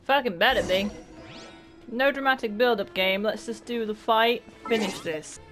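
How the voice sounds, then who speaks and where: A woman's voice: a little high, moderate pace, over music. A woman giving blunt, sardonic, sweary reactions as she plays a video game. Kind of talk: casual reaction commentary while gaming.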